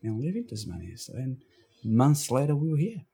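A man from Portugal with a Portuguese accent, recounting his life in a casual interview, halting with frequent restarts and fillers. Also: medium pitch, very animated, creaky, mellow, husky. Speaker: interview guest. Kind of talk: casual storytelling.

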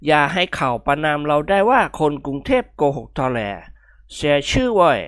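A woman voicing a calm young doctor who lectures his friends firmly in a storybook reading. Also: sits low, normal speed, no echo. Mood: lecturing.

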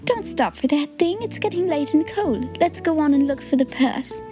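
A spoiled, selfish little girl from a storybook, whose talk comes out peevish and dismissive, as a woman reading aloud plays her. Her voice is pitched up high, with soft music running underneath. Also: expressive, moderate pace.

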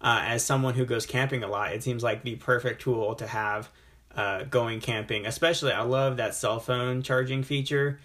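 A man hosts a casual interview podcast, voice plain and mid-pitched. He talks with enthusiasm and rambles a little, leaning on "you know" as he builds to his questions. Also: steady tone, normal speed, a bit bright. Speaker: podcast host.

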